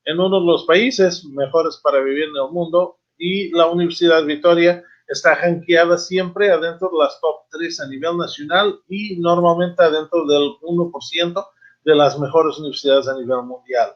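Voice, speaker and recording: Halting middle-aged man, dull audio. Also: a little high. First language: not Spanish, though speaking it at times. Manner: halting, informal, switching between English and Spanish. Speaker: webinar host. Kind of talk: casual webinar talk, reading and answering viewer questions. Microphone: video call, computer microphone. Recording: slightly dull video-call audio.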